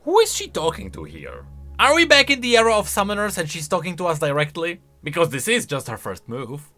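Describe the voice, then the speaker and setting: A man's voice: fairly high pitch, huge pitch swings, brisk, pushed and bright. A man giving casual, conversational video game lore commentary, thinking aloud and posing questions. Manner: conversational, speculative, rhetorical questions. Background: faint music.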